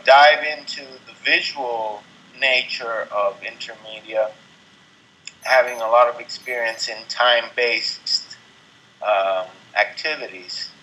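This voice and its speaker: A middle-aged man from Venezuela speaking as an interview guest, thoughtful and halting, with many ums and restarts. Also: medium pitch, sharp tone, poor audio. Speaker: interview guest, artist and composer. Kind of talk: casual interview talk.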